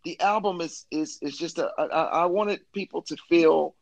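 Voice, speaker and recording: A man's voice: fairly high pitch, moderate pace, creaky, no room sound. Enthusiastic man chatting casually, close dry sound. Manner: enthusiastic, informal, often says man. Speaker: musician interview guest. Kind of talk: casual chat about career and new album.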